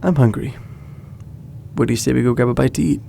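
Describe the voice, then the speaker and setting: An actor playing a calm, reassuring young man in a naturalistic audio drama, easygoing and measured. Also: medium pitch, vocal fry, a little hiss or hum. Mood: nostalgic, hesitant. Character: laid-back, reassuring young man, loyal childhood friend.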